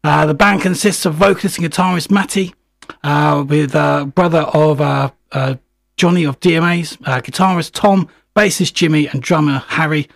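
A man hosting a music radio show, slightly husky while recovering from a chest infection, talking chattily with many fillers. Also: fairly high pitch, moderate pace, dry recording. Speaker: radio host.

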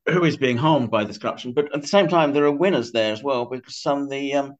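An older man speaking as an interview guest about a book, conversational and tentative, often correcting himself. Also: mid-range voice. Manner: tentative, self-correcting, halting. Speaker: podcast guest. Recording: dull, band-limited audio.

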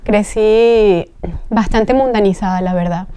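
A woman's voice: mid-range voice, unhurried, dark tone, clear, resonant tone. A woman from Venezuela, a guest telling her life story in an earnest, reflective way. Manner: reflective, earnest, searching for words. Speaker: guest, train driver. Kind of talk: personal testimony in an interview.

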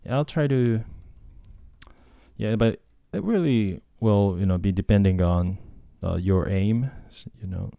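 A Japanese man talks alone in a relaxed, meandering way, stopping and restarting his sentences often. His voice sits very low and sounds soft and mellow. The recording is close and dry, with no room sound. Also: a little slow, vocal fry, no room sound. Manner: rambling, hesitant, many fillers and restarts. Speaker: podcast host and language tutor. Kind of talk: casual solo talk.

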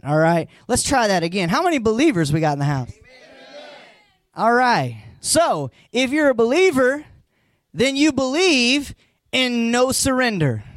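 A hoarse pastor, preaching a live sermon with folksy asides and emphatic, rising lines. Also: male voice, high-pitched, no echo.

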